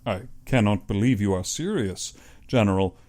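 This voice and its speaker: A man's voice: fairly low pitch, expressive, normal speed, a little mellow, pitched below the performer's own voice, lightly acted. A man voicing an earnest, civilized younger hunter in an audiobook, reserved and plain-spoken. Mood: appalled. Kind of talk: audiobook character acting.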